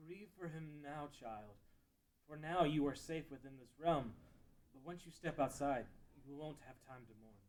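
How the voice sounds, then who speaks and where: A man acting a solemn, higher-voiced sage. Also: normal speed, a little mellow, close to an everyday voice. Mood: solemn counsel. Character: solemn, blunt ancient sage guarding a sacred realm. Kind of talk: table read of a fan-written audio drama.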